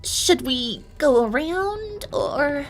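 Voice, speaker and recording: A woman's voice: high voice, animated, drawn-out words, soft background music, voice pushed up, heavily acted. A woman voicing an anxious female pony magician in a storybook reading, questioning and uneasy. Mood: anxious pleading. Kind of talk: dramatic storybook character reading.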